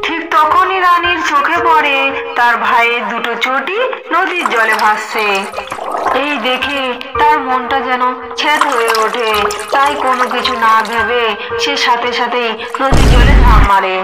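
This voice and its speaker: A woman's voice: high voice, measured pace, loud music behind the voice. A woman narrating a scripted cartoon horror story as the storyteller. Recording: loud noisy background ambience.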